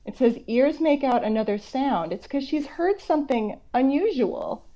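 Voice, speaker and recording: A woman author reading a picture book aloud to children, going between slow measured reading and conversational explanatory asides. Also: high-pitched, expressive, dark tone. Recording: dull, lo-fi online call audio.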